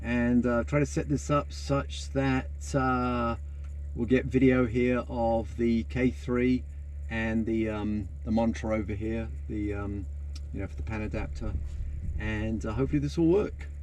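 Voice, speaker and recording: A man casually narrating while he sets up, thinking aloud in halting phrases. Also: medium pitch, fairly even intonation, darkish tone. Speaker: amateur radio operator. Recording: clear vehicle and ambient noise.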